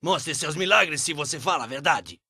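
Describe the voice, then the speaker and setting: A man's voice: on the high side, lively intonation, normal speed, pushed and bright, husky, heavily acted. A Brazilian man playing an arrogant, wrathful pharaoh in a dubbed cartoon, menacing and imperious as he declaims. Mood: demanding. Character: arrogant, wrathful tyrant pharaoh threatening punishment.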